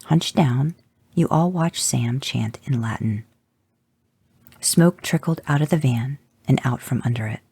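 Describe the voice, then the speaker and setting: Gravelly, husky storybook narrator. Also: mid-range voice, a little slow, no room sound. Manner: steady second-person storytelling. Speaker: podcast host reading fanfic. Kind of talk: audio fanfic narration.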